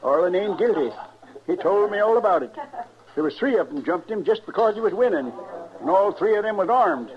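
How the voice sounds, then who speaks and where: A man's voice: sits high, expressive, fairly quick. An actor playing a stubborn, pious old frontier father in a radio western, with a rustic, solemn, defiant delivery. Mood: defiant, righteous. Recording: muffled vintage radio recording, crowd behind.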